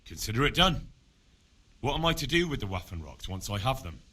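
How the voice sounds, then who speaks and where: An actor playing a plain-spoken young commoner, the earnest and wary hero of a medieval video game, frank and questioning. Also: male voice, medium pitch, big pitch swings, a little fast, breathy edge, dry recording, heavily acted. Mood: helpful, puzzled. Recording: loud outdoor ambience.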